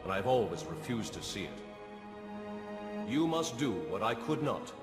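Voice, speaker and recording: An actor playing the solemn spirit of a fallen Jedi master in a game cutscene, grave and measured. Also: male voice, fairly low pitch, big pitch swings. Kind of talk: video game cutscene acting. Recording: echoing voice over game music.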